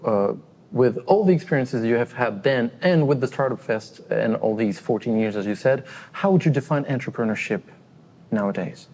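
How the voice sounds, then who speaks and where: A man with a mellow, mid-pitched voice hosts an interview. He asks his questions politely, with small restarts along the way. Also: expressive, in a large hall. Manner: polite, slightly halting questions. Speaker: podcast host, interviewer.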